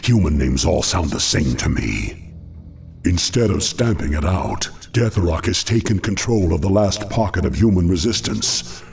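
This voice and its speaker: A scheming demon lord talks with formal, sardonic disdain. The very deep voice rasps with gravel, in a fantasy game scene with faint music behind. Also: male voice, lively intonation, unhurried, a little mellow, full character voice. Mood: disdainful. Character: scheming demon dreadlord, haughty and sardonic servant. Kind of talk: video game cutscene acting.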